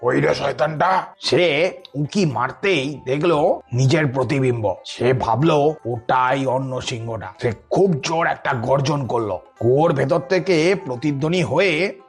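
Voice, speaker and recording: A man with a somewhat husky, mid-pitched voice tells a children's story. He reads as a scripted narrator. Music plays behind him. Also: unhurried.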